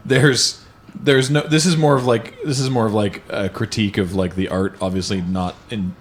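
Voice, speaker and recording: A man's voice: fairly low pitch, fairly even intonation, moderate pace. A man in casual conversation, explaining things emphatically as a livestream host. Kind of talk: casual chat.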